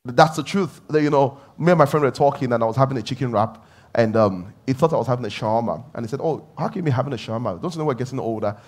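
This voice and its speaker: A man's voice: mid-range voice, rapid-fire. A middle-aged male pastor preaching a conversational sermon, repeating key phrases and rising in emphatic bursts.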